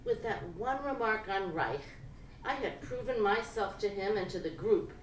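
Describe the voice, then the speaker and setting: A woman gives an expressive literary reading of first-person prose at a live event, phrasing it deliberately and dramatically. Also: medium pitch. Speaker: reader of fiction at a live event. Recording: roomy, distant live-event recording with noise.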